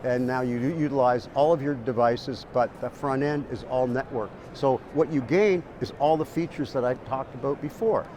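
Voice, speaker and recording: A man speaks at a middle pitch, explaining things like an expert in an interview. He is halting and repeats words as he works through each point. Clear background noise runs behind him. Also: darkish tone. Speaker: industry expert being interviewed.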